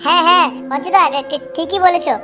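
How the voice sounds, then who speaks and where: A man's voice: extremely high pitch, quick pace, music in the background. A man voicing an eager, agreeable small young pilgrim boy in an amateur cartoon.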